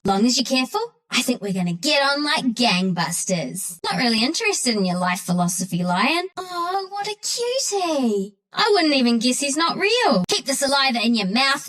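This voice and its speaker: A mischievous young squirrel of the woods, a video game heroine with plenty of cheek. Her voice sits high and leaps about animatedly. She talks in cocky slang. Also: fairly bright tone, full character voice. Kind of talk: video game character voice lines.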